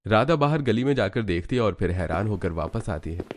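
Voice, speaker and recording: A man's voice: on the low side, lively intonation, a little fast, a little hiss or hum. A man narrating a scripted cartoon story as its storyteller.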